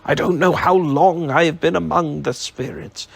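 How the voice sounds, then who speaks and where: A man voicing an overjoyed elderly miser who exclaims, laughing and crying at once, in a gravelly voice. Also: mid-range voice, animated, takes it slowly, a bit bright, no echo, heavily acted. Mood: giddy joyful.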